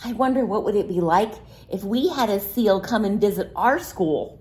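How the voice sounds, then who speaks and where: A woman's voice: medium pitch, moderate pace, darkish tone. A woman reading a picture book aloud to children expressively, with simple questions and asides to young listeners.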